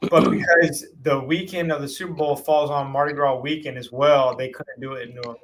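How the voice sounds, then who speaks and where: A man's voice: mid-range voice, fairly even intonation, brisk, fairly bright tone, slight background noise, poor audio. A man chatting casually about sports as a show panelist.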